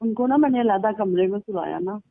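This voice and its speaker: A woman's voice: medium pitch, fairly quick, creaky, no room sound. A woman chatting casually and recounting personal stories over a band-limited phone call. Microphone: telephone line.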